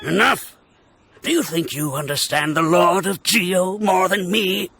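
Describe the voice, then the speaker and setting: A man playing an indignant, hot-headed debater in a video game, forceful and challenging. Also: high voice, a little slow, vocal fry, soft background music, exaggerated voice. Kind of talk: scripted video game dialogue.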